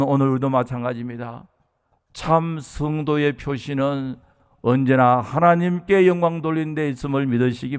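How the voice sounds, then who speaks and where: Male pastor, 60s, from South Korea. Slightly high voice, earnest, emphatic sermon delivery aimed straight at the congregation. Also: clear, resonant tone. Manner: earnest, emphatic, addresses listeners with rhetorical questions.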